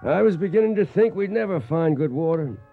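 A man's voice: a little high, animated, a little fast, creaky, phone-quality sound, soft background music. An actor playing a former cowhand reminiscing in folksy style as he narrates a Western radio drama. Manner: folksy storytelling. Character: reminiscing folksy male former cowhand telling his tale.

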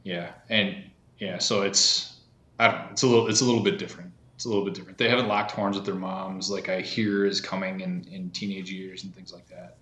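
A man in his thirties chatting casually as a podcast guest, halting with many fillers and restarts. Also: low-pitched, level tone, sharp tone, reverberant. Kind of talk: casual conversation.

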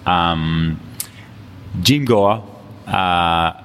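Deep-voiced Colombian man in an echoey gym. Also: drawn-out words, fairly bright tone, clean tone. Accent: Colombian Spanish. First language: Spanish. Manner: easygoing, chatty, laughs often. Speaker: guest, fitness coach. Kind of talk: casual interview chat. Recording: long reverb, faint background noise.

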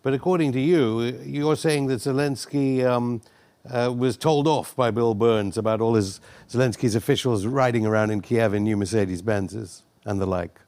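A man's voice: mid-range voice, normal speed, a little mellow. A man hosting a news interview, moving between scripted introduction and questions to his guest. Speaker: interviewer, host.

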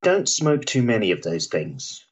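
An actor playing a dry, jovial Victorian doctor in a period stage drama, brisk and teasing in manner. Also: male voice, lowish voice, very animated, slightly rough, highs cut off, exaggerated voice.

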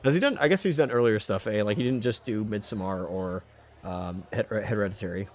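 A man's voice: lowish voice, fairly quick, a little mellow, a little hiss or hum. A man co-hosting a casual podcast chat, rambling and slangy with frequent exclamations. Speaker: podcast co-host.

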